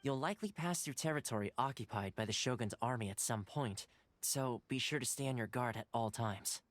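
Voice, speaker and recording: A man voicing a calm, considerate young ally in a video game, explaining plans in a measured, articulate way. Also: mid-range voice, no echo, close to an everyday voice. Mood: calm, reassuring.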